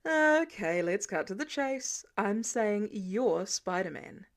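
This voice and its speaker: A woman's voice: mid-range voice, expressive, takes it slowly. A woman voicing a confident, nosy male billionaire boss, nonchalant and probing, in an audiobook. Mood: blunt, confident. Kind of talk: audiobook character dialogue.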